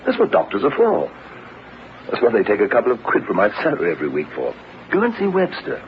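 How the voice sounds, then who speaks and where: An actor playing a brisk, reassuring British husband in a radio drama, breezy and matter-of-fact. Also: male voice, lowish voice, wildly swooping pitch. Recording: lo-fi radio recording, clear background noise.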